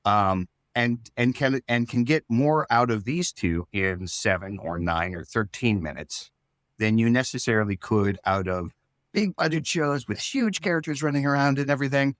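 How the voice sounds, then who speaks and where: Male, average pitch, very little room sound. Casual creator interview, halting, thinking out loud. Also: lively intonation, no room sound, clean studio sound. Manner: rambling, halting, thinking aloud. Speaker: show writer and creator.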